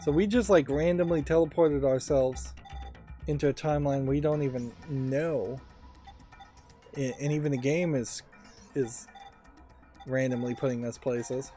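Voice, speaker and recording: Let's play host: relaxed, warm timbre, musing casual delivery, game music in the background. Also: medium pitch, a little slow, pauses often. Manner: musing, thinking aloud.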